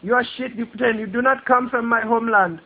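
Guy doing a high squeaky foreign carpet seller, broken english, keeps going no no no, rude hard sell, tinny phone. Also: fairly even intonation. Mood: pushy. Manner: insistent, rude, fast-talking hard sell. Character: pushy foreign male street trader, brash.

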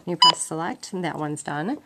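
A woman's voice: low-pitched, expressive, moderate pace. A woman gives an informal, practical step-by-step demonstration in a conversational, explanatory way. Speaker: instructor demonstrating a gadget. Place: indoors at a wooden table. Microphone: handheld camera mic, off screen. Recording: noisy amateur camcorder sound with clicks and beeps.

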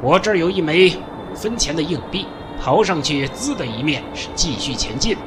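An actor playing a devout, sly old desert guide in an audio drama, folksy and pious with drawn-out sentence endings. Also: male voice, fairly high pitch, expressive, noisy background, close to an everyday voice. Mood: sly, cheerful. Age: older. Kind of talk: Chinese audio drama acting.

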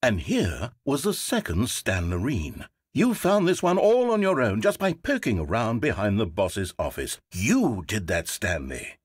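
A fussy, self-important game narrator, with a slightly husky tone and very lively pitch swings. His sarcasm is dry and theatrical, swinging between indignation and wounded pride. The recording is close and completely dry. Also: dry recording, exaggerated voice. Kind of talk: scripted video game narration. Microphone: close studio mic.

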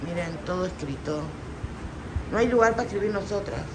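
A middle-aged woman vlogs from a small, busy restaurant and chats easily to her viewers. She guesses aloud and laughs often. The phone is held at arm's length, and steady diner noise fills the background. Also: lowish voice, a little fast. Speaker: vlogger. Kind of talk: casual food vlog chat.

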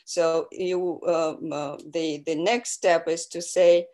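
A woman lectures over a video call in a low voice. She speaks very slowly and fills her pauses with many ums and uhs. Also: fairly even intonation, warm tone. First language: Russian. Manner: hesitant, many fillers.

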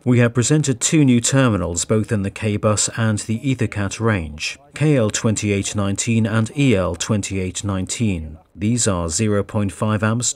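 A man reading scripted narration as a voice-over for a trade show report, in a measured, even delivery. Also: on the low side. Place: voice-over booth.

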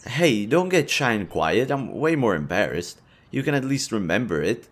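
A man's voice: sits low, expressive, moderate pace. A man playing an easygoing young half-demon adventurer, talking casually to a best friend in an audio roleplay. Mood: flustered, embarrassed. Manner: casual, teasing, chatty. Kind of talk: intimate audio roleplay acting.

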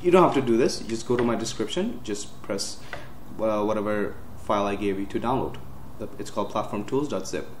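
A man's voice: fairly low pitch, a little fast, few pauses, darkish tone. Casual man walking through computer steps. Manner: casual, plain step-by-step instructions with many uhs and self-corrections. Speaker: tech tutorial host. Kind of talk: screen-recorded software walkthrough. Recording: roomy with faint hiss.

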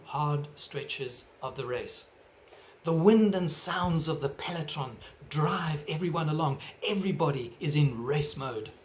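A middle-aged man with a South African accent preaching a sermon from his living room into a webcam, earnest and measured, gesturing as he talks. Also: fairly high pitch, a little hiss or hum. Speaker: pastor. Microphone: webcam at desk distance.

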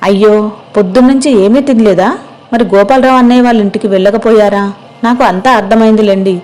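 A woman's voice: fairly high pitch, fairly even intonation, fast talker, a little mellow, natural voice, poor audio. Village wife for a moral tale, voiced by a woman: trusting, fussing, mildly reproachful. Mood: concerned, chiding. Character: concerned, naive, gently scolding village wife.

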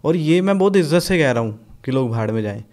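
Casting: young guy from Punjab, slightly high voice, blunt, swears a lot, lively storyteller. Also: quick pace. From Punjab, India. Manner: blunt, animated, swears freely, mixes English in. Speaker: podcast guest, rapper. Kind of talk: casual podcast chat.

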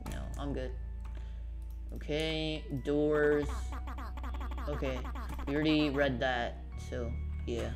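A gaming video creator giving casual, offhand gameplay commentary, muttering along while playing. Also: mid-range voice. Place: indoors at a computer, keys typing.

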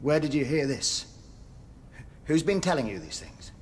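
An actor playing a zealous, pompous prison chaplain in a radio drama, with a declamatory way of preaching. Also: male voice, a little high, sing-song, normal speed, a little hiss or hum. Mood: grave, hesitant. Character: fire-and-brimstone prison chaplain, zealous, pompous, troubled by doubt.

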